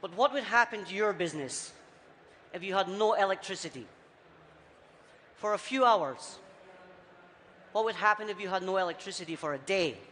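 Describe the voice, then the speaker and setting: A man with a Scottish accent softened by years abroad, giving a punchy, rhetorical conference talk in short, repeated phrases. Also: high voice, animated, normal speed, pauses often. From Scotland. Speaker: conference speaker. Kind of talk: keynote talk on stage. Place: large conference hall. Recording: reverberant hall, faint background noise.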